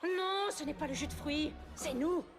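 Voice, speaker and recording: A woman's voice: high-pitched, moderate pace, soft background music. A woman voicing a dramatic teenage girl in a cartoon dub. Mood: earnest.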